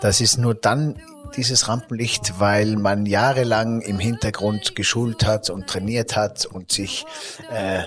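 Ein Mann mit österreichischem Akzent, der als Gastgeber im Gespräch lebhaft erzählt und oft nach Worten sucht. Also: male voice, on the low side, measured pace, faint music behind. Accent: Austrian German. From Austria. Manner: lively, searching for words with fillers and restarts. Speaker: podcast host. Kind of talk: casual interview talk.

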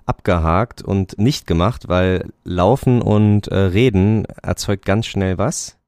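A man with a fairly low voice talks football in a loose, rambling way, full of fillers and restarts. He laughs easily. The sound is dry and close to the mic. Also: slow, a little mellow, no room sound. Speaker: podcast co-host. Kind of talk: casual chat about football.

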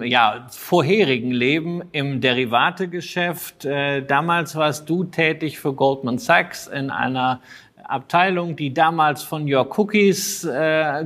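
A man's voice: on the high side, fairly bright tone. A man co-hosting a podcast, reading a disclaimer and asking the guest questions in a matter-of-fact way. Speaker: podcast co-host.

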